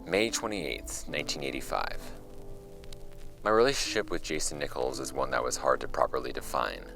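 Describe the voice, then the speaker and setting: An actor playing a wry archive host, reading old case files aloud in a measured, conversational way for a scripted audio drama. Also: male voice, very deep voice, creaky. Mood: reflective, measured. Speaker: host of a fictional case-file podcast. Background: music under the voice.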